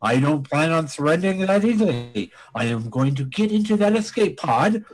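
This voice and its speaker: A man's voice: fairly high pitch, lively intonation, moderate pace, natural voice. A man playing a petulant multi-armed alien villain, melodramatic and whiny, in a comic old-time radio play. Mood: scheming.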